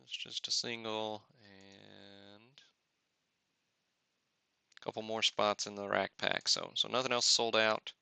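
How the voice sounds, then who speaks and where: A man's voice: lowish voice, level tone, takes it slowly, gravelly, pauses often, bright, forceful tone, clean tone, dry recording. A man hosting a live card-opening stream with casual, off-the-cuff commentary and muttered asides to himself. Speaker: host of a sports card break livestream.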